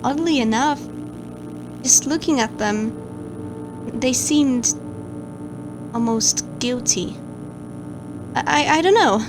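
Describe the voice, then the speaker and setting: A woman's voice: high voice, expressive, slow pace, pauses often, rough recording. A woman playing an anxious, guilt-ridden watcher of camera feeds, who stammers and hesitates as she narrates a horror log over music.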